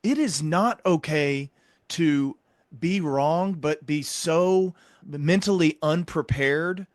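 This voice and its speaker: A male podcast host with a slightly high voice and a close, dry sound. He speaks earnestly in conversation, setting out his points with measured emphasis. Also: dry recording.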